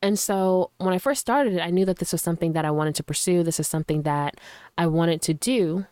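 A woman talks into a close microphone on her podcast, her voice a little hoarse from a lingering cold. She sounds smooth and full-toned in a dry room, lowish for a woman. She rambles in a casual, filler-heavy way and sounds earnest and encouraging. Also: brisk, a little mellow, no echo. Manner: loose and chatty, full of fillers. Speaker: podcast host. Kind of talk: casual solo podcast talk. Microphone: studio microphone.

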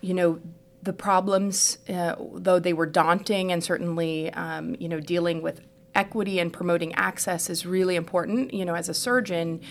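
A woman's voice: fairly low pitch, vocal fry, a little hiss or hum. A middle-aged American woman from Houston telling her own story as a podcast guest in a reflective, explanatory, conversational way. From Houston, United States. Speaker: podcast guest, trauma surgeon. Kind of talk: conversational personal narrative in an interview.

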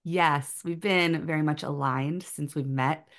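A woman's voice: sits low, steady tone, unhurried, a bit bright. A woman hosting an education podcast, enthusiastic and gushing as she welcomes her guest. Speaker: podcast host. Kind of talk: scripted intro then casual interview.

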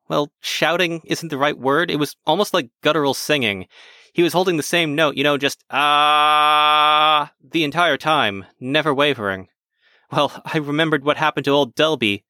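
A man's voice: a little high, normal speed, bright, forceful tone, no room sound, voice pushed up. A man voicing a mild-mannered accountant in his thirties, telling his story in a hesitant, rambling way. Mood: flustered. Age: 30s. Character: mild-mannered young male office accountant.

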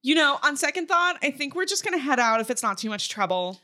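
Woman as a high-voiced, baffled diner. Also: very animated, quick pace, sharp tone, full character voice. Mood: polite. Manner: casual, questioning. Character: casual, politely baffled young woman diner. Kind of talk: comedy sketch table read.

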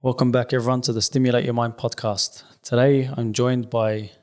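A man's voice: medium pitch, normal speed. A man hosting a podcast, asking questions and giving short, encouraging replies. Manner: even, brief encouraging replies. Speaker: podcast host. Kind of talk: casual podcast interview.

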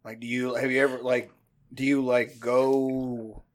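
Fifties man, mid-pitched, rambling and swearing casually. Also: little pitch movement, measured pace. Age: 50s. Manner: rambling, profane, self-deprecating. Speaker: podcast host. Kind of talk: casual chat.

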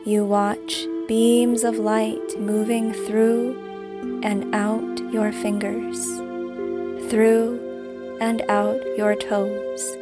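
Slow, even-toned woman guiding meditation over music. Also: medium pitch. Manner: calm, soothing, deliberate phrasing. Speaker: meditation guide and podcast host. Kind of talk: guided meditation, scripted narration. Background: music behind the voice.

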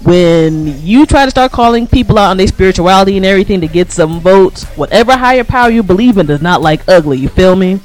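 A man's voice: high voice, lively intonation, quick pace, hardly any pauses, faint music behind, rough recording. An American man co-hosting a radio show, giving casual political commentary in an emphatic, colloquial, slangy way.

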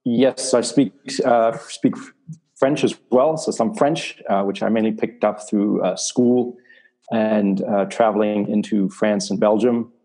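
A coach from Germany talks through his past in an interview. His voice sits a little low and sounds warm and dark. He speaks in a measured way, filling pauses with "uh" and "um". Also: rough recording. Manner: measured, with many "uh" and "um" fillers. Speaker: athletics coach. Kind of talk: interview answers.

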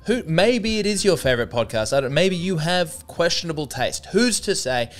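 A man's voice: fairly high pitch, animated, moderate pace, faint music behind. A man hosts his own comedy podcast solo. His voice sits in a middle register but swoops up and down a lot as he jokes. He rambles with dry sarcasm and mocks himself along the way. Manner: sarcastic, rambling, self-deprecating.

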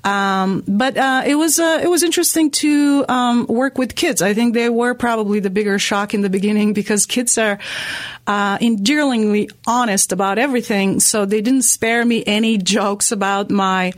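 A woman's voice: medium pitch, normal speed, no echo, studio quality. Bulgarian woman with a British-tinged accent. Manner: thoughtful, hesitant, many fillers. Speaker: guest. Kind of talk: casual interview.

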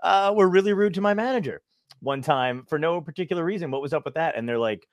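A man in mid-range pitch chatting as a podcast host, rattling along at a brisk clip with lots of swearing and tangents. The sound is dry and close, with no room around it. He speaks loosely and laughs at himself. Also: lively intonation, dry recording. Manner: fast, profane, self-deprecating, rambling. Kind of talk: casual interview chat.

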